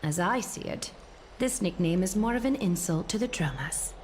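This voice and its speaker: A woman playing a stern, regal female leader in a fantasy game, formal, measured and resolute. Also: fairly low pitch, expressive, darkish tone, a little hiss or hum, lightly acted. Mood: measured disapproval. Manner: formal, solemn, deliberate. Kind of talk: dramatic fantasy game voice acting. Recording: game music behind voice.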